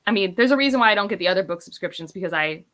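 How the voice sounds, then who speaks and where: Bright-voiced woman chatting casually. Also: mid-range voice, animated, very fast. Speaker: book reviewer, read-along co-host. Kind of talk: casual chat.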